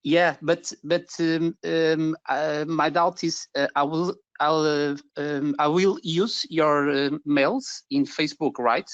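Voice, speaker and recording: A Portuguese-accented man speaks English as a second language, pausing often and restarting his sentences. His voice sits a little high for a man. The sound is dry and dull, like lo-fi webinar audio. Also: steady tone, smooth, dry recording. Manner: hesitant, many fillers and restarts. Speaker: webinar participant. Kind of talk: video call question. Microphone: video call.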